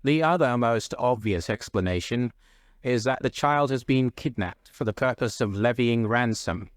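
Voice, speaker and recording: A man voicing a calm gentleman detective in a classic story, with a bland, polite, precise manner. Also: medium pitch, normal speed, dry recording, studio quality, close to an everyday voice. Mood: probing.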